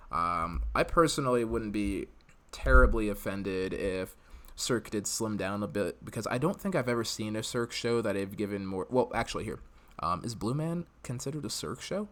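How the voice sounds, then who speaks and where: A man's voice: on the low side, expressive, moderate pace, a bit bright. An American man hosting a live podcast, chatting casually and giving his opinions in a conversational way. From United States. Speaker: podcast host.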